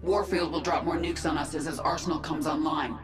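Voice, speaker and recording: A woman's voice: sits low, steady tone, brisk, close to an everyday voice. A woman plays the warrior queen of an alien swarm in a video game. She is stern, terse and commanding, with an echo on the voice and music underneath. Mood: urgent, determined. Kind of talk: video game dramatic voice acting.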